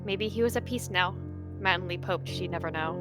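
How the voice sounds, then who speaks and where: A woman narrating a dramatic roleplay story in an expressive, measured storytelling manner. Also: fairly low pitch, over music.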